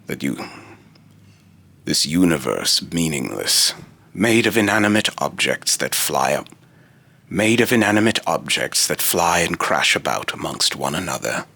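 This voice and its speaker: A man voicing a solemn, otherworldly immortal being in a deep, raspy robotic voice, with grandiose, archaic, halting phrasing in a dramatic monologue. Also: sing-song, pushed and bright, dry recording, heavily acted. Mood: consoling, solemn.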